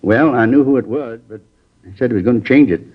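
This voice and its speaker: An actor playing a plain-spoken neighbor who gives a witness account in a folksy, rambling way, in a 1950s radio drama. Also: male voice, medium pitch, fast talker, natural voice. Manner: folksy, rambling, ungrammatical speech. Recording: dull lo-fi old radio recording.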